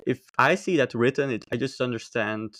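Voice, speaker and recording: A man's voice: medium pitch, moderate pace, fairly bright tone, no room sound. Flemish man, dry online interview. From Belgium. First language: Dutch. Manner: thoughtful, with frequent restarts and light laughs. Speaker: podcast guest. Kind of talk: casual interview chat. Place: home, online call. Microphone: video call.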